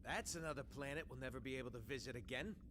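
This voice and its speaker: An actor playing a brash, sarcastic, streetwise raccoon thief and tinkerer in a video game cutscene. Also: male voice, medium pitch, fast talker, noisy background, close to an everyday voice. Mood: cocky. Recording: loud music behind.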